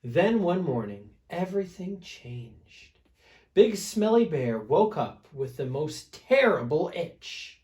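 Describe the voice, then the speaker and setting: A man's voice: a little high, sing-song, darkish tone. A man reading a picture book aloud to children as a storyteller, with dramatic emphasis. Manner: dramatic emphasis, pauses to prompt listeners.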